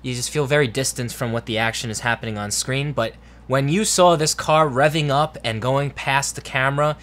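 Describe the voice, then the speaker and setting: A man's voice: medium pitch, normal speed, fairly bright tone. A man co-hosting a review show, talking in an informal, enthusiastic, conversational way. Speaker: film review show co-host. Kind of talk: casual movie review chat.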